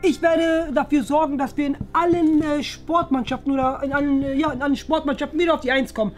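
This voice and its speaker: A woman's voice: sits high, fairly even intonation, fast, soft background music, natural voice. A woman voicing a cocky, boastful, sporty schoolboy who sounds smug and self-important in a children's school story.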